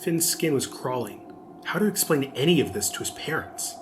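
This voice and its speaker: A man's voice: mid-range voice, animated, normal speed, background noise. A man narrating a scripted adventure audiobook as the storyteller.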